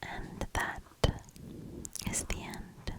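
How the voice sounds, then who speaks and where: A woman doing soft ASMR storybook reading and quiet commentary in a breathy, gentle, hushed whisper. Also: low-quality recording. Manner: slow, gentle, hushed delivery.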